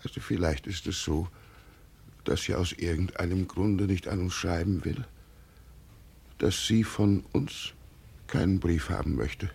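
An actor playing a grief-stricken old peasant father in a German radio play, speaking slowly, husky and wistful. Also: sits low, darkish tone, full character voice. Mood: brooding, wistful. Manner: slow, brooding, wistful.

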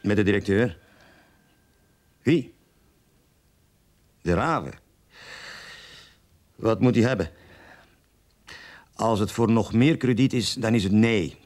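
An actor playing a guarded bank director in a radio play, formal, hesitant and curt, with a husky voice. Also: male voice, fairly low pitch, slow, pauses often, darkish tone. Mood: irritated. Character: stiff, guarded male bank director, officious.

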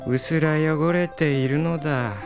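A man's voice: a little high, steady tone, slow pace, gravelly, over music. A man playing a listless, resigned townsman in an audio drama, drawling and indifferent. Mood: weary, indifferent. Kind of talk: Japanese audio drama character acting.

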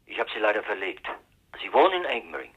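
Husky, sharp, courteous male caller. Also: on the low side, very animated, fast talker, lo-fi sound, full character voice. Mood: polite, probing. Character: polite, curt caller slyly fishing for an address. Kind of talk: German radio play acting.

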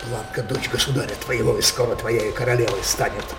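An actor playing a tyrannical, feverishly passionate king in a declamatory radio drama style, with drawn-out words and sudden outbursts. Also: medium pitch, steady tone, noisy background, natural voice. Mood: imperious. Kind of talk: Russian theatrical radio drama acting. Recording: roomy, distant voice over loud music.

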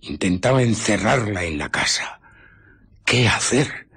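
Very raspy, brooding fugitive scientist. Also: male voice, fairly low pitch, expressive, unhurried, fairly bright tone, full character voice. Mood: cold, self-justifying. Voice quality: husky, raspy, often dropping to a whisper. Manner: dramatic, brooding, self-justifying. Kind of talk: radio drama narration and dialogue.

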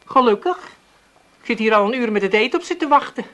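A fed-up Amsterdam housewife who scolds with dry sarcasm. Her voice is somewhat high. She speaks with an Amsterdam accent. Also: lively intonation, fast talker, fairly bright tone. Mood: complaining. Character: sharp-tongued Amsterdam housewife, fed up and nagging. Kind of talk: radio play acting.

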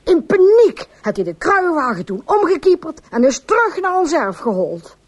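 A woman's voice: extremely high pitch, very animated, moderate pace. An actress playing a distraught farmer's wife, telling her account earnestly and emotionally in a radio drama. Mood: distressed, confessing. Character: distraught, pleading farmer's wife confessing all.

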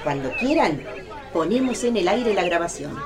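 Actress as eager female roving radio reporter, very animated intonation, busy crowd behind. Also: fairly low pitch, normal speed. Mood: bright, eager. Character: chirpy female radio field reporter in 1810. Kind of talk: radio play, live news report.

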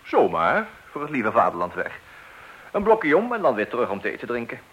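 A man's voice: mid-range voice, wildly swooping pitch, normal speed, fairly bright tone, muffled recording, slight background noise. An actor playing a jovial father who also narrates, chatting and speaking directly to the listener. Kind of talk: radio play acting.

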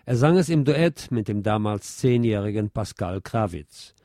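A man reading scripted narration aloud in a measured, literary manner. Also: fairly low pitch, expressive, warm tone, no room sound, studio quality. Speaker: narrator reading an essay on German music history.

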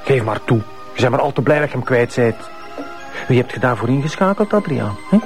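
A man playing an insinuating, quietly menacing Flemish lawyer, coaxing and needling in a TV crime drama. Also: medium pitch, animated, a little fast, close to an everyday voice. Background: clear music.

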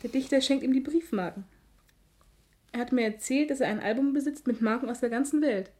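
High-voiced, evasive teenage girl played by an actress. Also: a little fast, darkish tone, lightly acted. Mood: defensive. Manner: terse, evasive replies. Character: evasive, dreamy, defiant sixteen-year-old daughter. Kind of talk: radio play dialogue.

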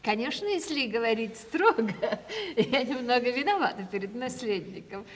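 An actress in a radio play voicing an aging provincial gentlewoman, gracious and self-justifying, with theatrical, affected, effusive delivery. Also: on the high side, expressive, normal speed, husky. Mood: coy, defensive. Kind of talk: classic Russian stage comedy radio acting. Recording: 1970s radio play, reverberant hall.

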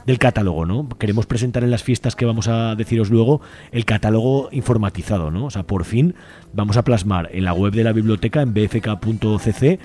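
A man's voice: lowish voice, brisk, dark tone. A man with an accent from Spain speaking casually and enthusiastically as a radio guest, in a colloquial, rambling way that often seeks agreement. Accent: Spanish from Spain. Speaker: radio guest from a community library collective. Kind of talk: casual spoken radio interview.

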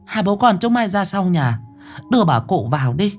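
A shrill, frantic old village wife, as a male storyteller puts her on, stammering and tripping over her words. Her voice jumps high and swings wildly in pitch. Faint music runs underneath. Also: sing-song, normal speed. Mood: scolding.

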